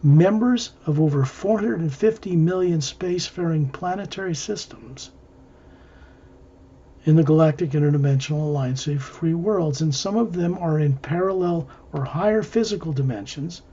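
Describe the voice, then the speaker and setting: A man leading an improvised guided visualization, narrating in measured, halting short phrases. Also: fairly high pitch, dark tone, slightly rough, lo-fi sound. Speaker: guided meditation leader.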